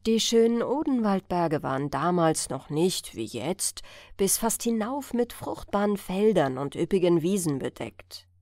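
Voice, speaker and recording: Slow, expressive woman storyteller. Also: fairly low pitch, no echo, clean studio sound. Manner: measured, storytelling delivery. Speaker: audiobook narrator. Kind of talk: scripted fairy-tale narration, bedtime storytelling.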